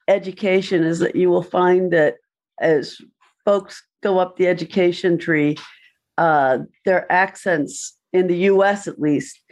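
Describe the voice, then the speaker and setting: Woman with a lowish, thoughtful voice. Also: a little mellow. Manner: thoughtful, explanatory. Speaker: panelist. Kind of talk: casual panel discussion.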